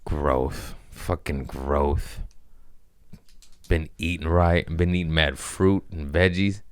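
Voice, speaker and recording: Male podcast host, very low voice, dry close sound, joking and cursing freely. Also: steady tone, takes it slowly, clean tone, dry recording. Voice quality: deep voice. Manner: loose, profane, jokey rambling. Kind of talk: casual chat.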